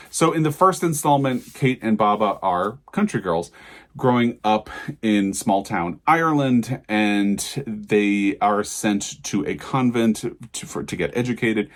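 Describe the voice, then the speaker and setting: A man with a fairly low-pitched voice talks through a book review in a casual vlog style. He is thoughtful and enthusiastic, wandering through his points as he explains them.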